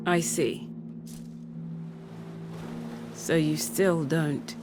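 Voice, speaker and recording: A woman's voice: low voice, very slow. A woman playing a self-assured, world-weary rival Valkyrie in a video game, languid, teasing and condescending. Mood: scornful. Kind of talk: video game cutscene acting. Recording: music behind the voice.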